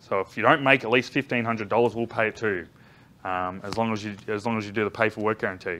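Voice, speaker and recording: Loud chatty Australian man. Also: lowish voice, steady tone, quick pace, slight background noise. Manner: loud, chatty storytelling with many ums and restarts. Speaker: business trainer. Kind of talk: live talk to a seated audience. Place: large conference room indoors. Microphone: far camera, no visible mic.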